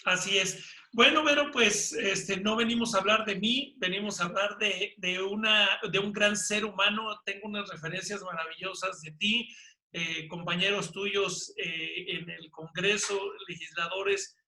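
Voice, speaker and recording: High, very sharp-voiced Mexican man. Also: steady tone, a little slow. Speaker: interview host. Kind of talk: conversational interview. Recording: roomy, echoing sound.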